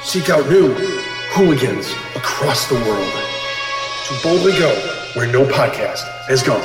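A man's voice: medium pitch, expressive, measured pace. A man doing casual podcast banter and playful show narration. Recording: reverb on voice under music.

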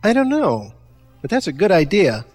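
A man in casual banter with a teasing, drawn-out way of talking. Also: high voice, huge pitch swings, darkish tone, soft background music.

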